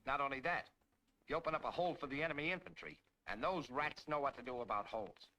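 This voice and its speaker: An actor with an American accent playing a tough, grumbling tank soldier in a 1940s military training film, brash, slangy and emphatic. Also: male voice, on the high side, fast talker, sharp tone, lo-fi sound. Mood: urgent warning. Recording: noisy 1940s film soundtrack, clicks.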